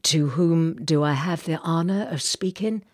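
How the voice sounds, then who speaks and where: Female reader as male Confederate officer for audiobook, restrained, courteous, lowish voice, formal phrasing. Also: a little mellow. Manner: formal, polite, measured. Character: courteous, restrained Confederate army captain, a man. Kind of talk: audiobook character dialogue.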